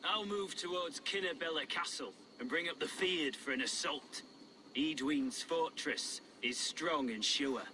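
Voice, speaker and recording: An actor playing a blunt, earthy Saxon rebel leader in a video game, plain-spoken and grimly determined. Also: male voice, a little high, unhurried, background noise, close to an everyday voice.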